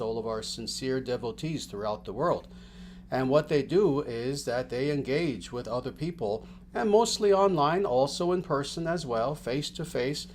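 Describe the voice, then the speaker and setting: A man, a spiritual teacher, giving unhurried livestream announcements to his followers in a gentle, rambling way full of fillers and restarts. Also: medium pitch, slight background noise.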